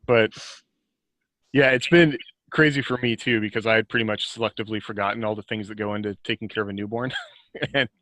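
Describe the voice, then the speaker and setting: Low-voiced man, rambling and reflective. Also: fairly even intonation, a little fast, a bit bright, no room sound, low-quality recording. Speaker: author and podcast guest. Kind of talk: casual reflective conversation about writing and life.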